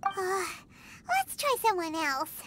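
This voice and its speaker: A woman voicing a tiny, excitable, chatty fairy companion in an anime fantasy game, squeaky, exclamatory and cheeky. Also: takes it slowly, background noise, exaggerated voice. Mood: miffed. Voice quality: squeaky, childlike. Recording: loud background music.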